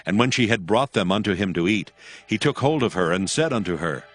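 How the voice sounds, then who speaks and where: A man narrating scripture from a script in a measured, solemn manner. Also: lowish voice, lively intonation, dry recording. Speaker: narrator of a dramatized Bible.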